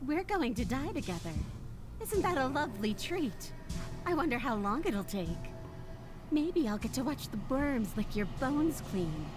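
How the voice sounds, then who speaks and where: A woman's voice: sits high, big pitch swings, unhurried, music in the background. A woman playing a wounded, cruel princess in a visual novel game, sweetly menacing and morbidly amused.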